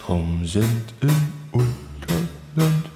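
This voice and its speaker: A man with a Swabian accent slowly delivering the verses of a folk song. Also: medium pitch, sing-song, music in the background, full character voice. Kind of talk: slow singing or chanting of a folk song. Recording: roomy echo with instrument.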